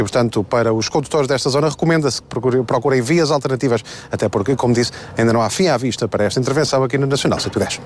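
A man with a European Portuguese accent reporting live from a roadside accident scene outdoors, often pausing with filler sounds. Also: mid-range voice, a little hiss or hum. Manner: fast, with frequent filler hesitations. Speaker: field reporter.

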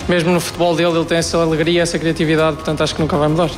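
A man's voice: fairly high pitch, level tone, rapid-fire. A man, a football player answering press questions and repeating words. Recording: hall reverb with loud music.

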